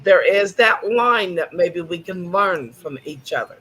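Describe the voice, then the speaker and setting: A man's voice: sits high, expressive, unhurried, pushed and bright, a little hiss or hum, rough recording. A man co-hosting a casual chat, earnest and folksy. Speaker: co-host.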